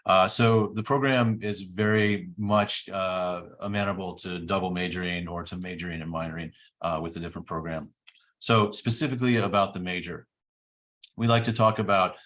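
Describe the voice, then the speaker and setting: A man's voice: low voice, fairly bright tone. A middle-aged man giving an informational presentation about a study program, explaining step by step with frequent fillers. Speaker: program director.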